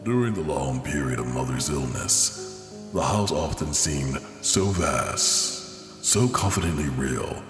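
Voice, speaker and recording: A man voicing a haunted, melancholy man recalling his childhood in a motion comic, solemn and measured. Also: extremely low pitch, animated, heavily acted. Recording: long echoing reverb under loud music.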